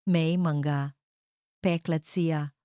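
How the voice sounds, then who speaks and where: A woman reading a dramatic first-person story as a narrator, in a measured, deliberate way. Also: low-pitched, steady tone, dark tone, smooth, no room sound, studio quality.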